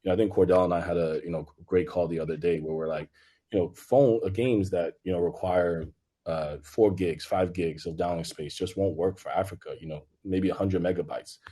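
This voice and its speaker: A man's voice: deep voice, steady tone, a little fast, warm tone, slightly rough. A man from Congo, a native French speaker, talking loosely on a conference panel with many fillers. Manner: loose and filler-heavy, leaning on "you know". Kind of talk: casual panel discussion.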